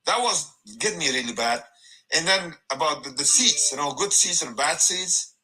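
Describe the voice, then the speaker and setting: A middle-aged man from Afghanistan telling his story in a halting, emphatic, animated way with many restarts. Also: a little high, rough recording. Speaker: guest giving a testimony. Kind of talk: conversational personal testimony.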